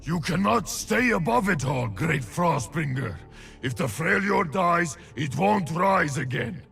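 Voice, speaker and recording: A man playing a solemn, stern shaman warrior in a video game, with a gravelly voice and a slow, dramatic delivery. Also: on the high side, expressive, fairly bright tone, soft background music, heavily acted. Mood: grave, accusing. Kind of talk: video game character voice lines.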